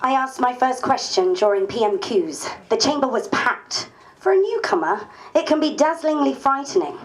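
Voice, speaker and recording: A woman's voice: sits high, big pitch swings, moderate pace, poor audio. A woman playing an earnest, politely stubborn new member of parliament in a dramatised diary reading. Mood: wry, narrating.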